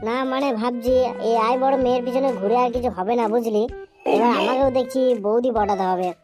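A woman's voice: fairly high pitch, fairly quick, music in the background, close to an everyday voice. A woman voicing a crude, boastful young male slacker and sidekick in an amateur comedy cartoon. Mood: scheming, boastful. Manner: swearing, bragging.

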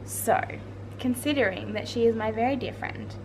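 A young woman with a fairly high voice, goofy and theatrical, hamming up her lines while chatting with a friend. She talks close to a handheld camera in a quiet library at night, with clear background hum around her. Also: moderate pace. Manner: silly, theatrical, dramatic outbursts. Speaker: vlogger. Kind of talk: casual chat vlog.

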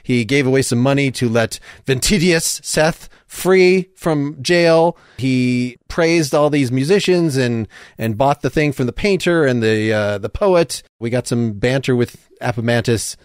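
A man's voice: medium pitch, measured pace, clear, resonant tone, no echo, clean studio sound. A man hosting an audio play reading, giving casual recaps and reading out stage directions. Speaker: podcast host and stage-direction reader.

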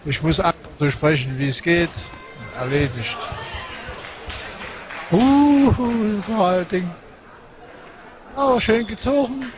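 A man with a regional German dialect giving relaxed, chatty live commentary on a match, with many fillers and short exclamations. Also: high-pitched, slow, pauses often, music in the background. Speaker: sports commentator. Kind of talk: casual live commentary on a cycle-ball match. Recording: noisy sports hall with crowd ambience.

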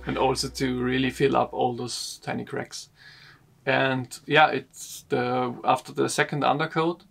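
A young man sits in a wooden boat cabin and explains a refit job to a camera. He talks very slowly, stopping and repeating words as he searches for them. His voice has a rough, gravelly grain, and a little guitar music plays softly behind him. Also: medium pitch, flat delivery. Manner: slow, halting, repeats words while explaining. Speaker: sailing vlogger.

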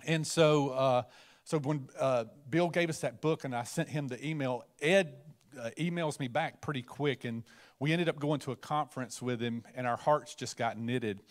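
A pastor stands on his church stage with a handheld mic. He tells a long testimony in an earnest, storytelling way, with plenty of fillers. His voice sits at an ordinary middle pitch. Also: normal speed. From Alabama, USA. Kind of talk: testimony and introduction.

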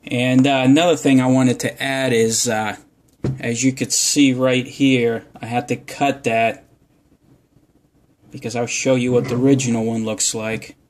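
A man's voice: mid-range voice, level tone, a little slow, slight background noise. A man giving a casual how-to demonstration at a home bar counter, talking unhurriedly with many fillers. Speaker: vlogger.

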